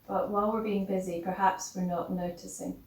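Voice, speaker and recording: A woman leading a guided breathing meditation as a teacher, gentle and instructional, leaving long pauses between short phrases. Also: medium pitch, flat delivery. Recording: roomy, distant mic with loud background noise.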